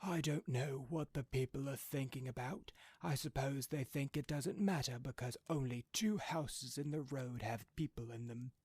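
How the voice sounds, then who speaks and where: An excitable old father from a storybook, acted out by a male narrator. His voice is lifted well above a low speaking pitch, with a grumbling, inquisitive manner. The recording is close and dry. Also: normal speed, hardly any pauses, breathy edge, dry recording, close to an everyday voice. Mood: exasperated. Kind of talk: storybook character voice.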